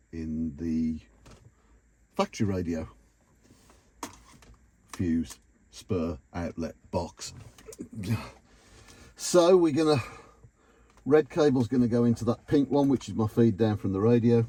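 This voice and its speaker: A man's voice: low voice, dark tone. A British man giving a casual, matter-of-fact hands-on commentary as he works in a car, with informal phrasing. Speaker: car DIY vlogger. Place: in and around a car. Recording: noticeable background noise and clicks.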